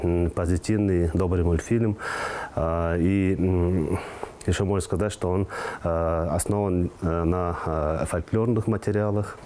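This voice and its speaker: A man from Tuva, a native Tuvan speaker, giving an unscripted interview haltingly, with constant hesitation sounds as he searches for words. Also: sits low, warm tone, a little hiss or hum. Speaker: project organizer being interviewed.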